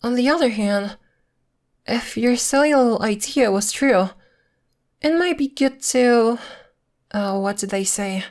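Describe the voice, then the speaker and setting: A woman's voice: on the high side, measured pace, long pauses, darkish tone, lightly acted. A woman playing a possessive girlfriend who is secretly a witch, coy and sweetly menacing, in an ASMR roleplay. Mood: coy, teasing. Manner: coy, teasing, veiled threats in a sweet tone.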